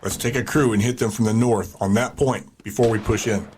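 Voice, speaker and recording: Man playing a low, terse warlord. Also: fairly even intonation, fairly quick, a little mellow, a little hiss or hum, close to an everyday voice. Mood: commanding. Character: terse, ruthless warlord commanding his troops. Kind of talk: audio drama acting.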